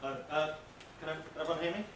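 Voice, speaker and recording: A man with a booming voice asking a question at an academic symposium, politely self-deprecating and a little halting. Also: fairly even intonation, fairly bright tone. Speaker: audience member asking a question. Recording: echoey, noisy hall from far off.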